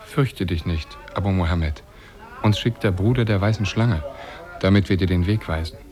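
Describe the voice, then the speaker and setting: An actor in a 1950s German radio drama playing a strange, helpful otherworldly guide who speaks in a solemn, measured, formal way. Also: male voice, deep voice, darkish tone, natural voice. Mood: solemn, reassuring. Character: strange otherworldly man with eyes on his chest, helpful guide. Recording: crowd of voices around, 1950s radio.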